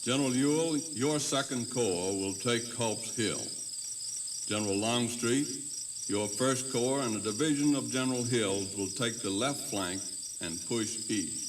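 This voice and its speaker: An actor playing a grave, decisive Confederate commanding general in a historical audio drama, deliberate and authoritative. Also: male voice, medium pitch, natural voice. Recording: noisy, distant tape with insect ambience.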